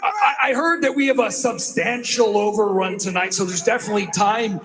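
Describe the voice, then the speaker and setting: Man, taunting, mocking, very high voice, wrestling mic. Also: brisk, a bit bright, slight background noise.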